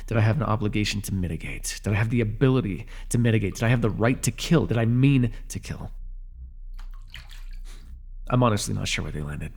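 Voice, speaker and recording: A man's voice: fairly low pitch, expressive, darkish tone, slightly rough. A man playing a bitter, commanding telepathic villain who was once a hero, speaking with sardonic deliberation in an ASMR superhero roleplay. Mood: wry, bitter. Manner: sardonic, deliberate, addressing a captive.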